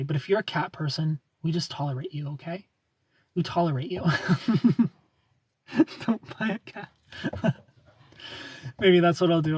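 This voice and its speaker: A man in his thirties hosts a casual livestream, greeting viewers and reading their comments aloud. He jokes at his own expense and laughs often. His voice is slightly raised in pitch and a little husky. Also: darkish tone, no room sound. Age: 30s. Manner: joking, self-mocking, laughs often. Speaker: livestream host.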